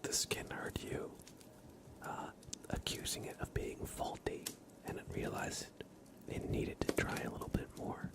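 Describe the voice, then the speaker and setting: Whispering man hosting an ASMR livestream. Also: a little slow. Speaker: live streamer, host. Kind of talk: whispered ASMR livestream chat with race commentary.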